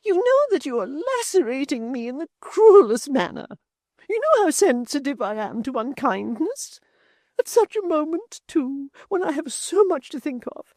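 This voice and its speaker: A man voicing an aged, palsied mother in a storybook reading, shrill, whining and self-pitying. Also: no room sound, exaggerated voice. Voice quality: shrieking, whining. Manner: querulous and theatrical. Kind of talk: audiobook character voice.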